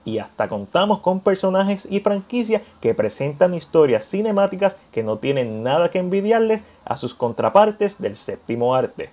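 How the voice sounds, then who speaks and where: Lively man narrating a scripted video essay. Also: sits high, normal speed, few pauses, lo-fi sound. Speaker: host of a video channel on cinema and video games.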